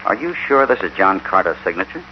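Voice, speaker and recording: Shrewd Western hero, low and reassuring, matter-of-fact radio drama style, very noisy old recording. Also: male voice, sing-song, a little fast, highs cut off. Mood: shrewd, purposeful. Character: calm, shrewd, protective middle-aged cowboy hero. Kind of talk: 1950s Western radio acting.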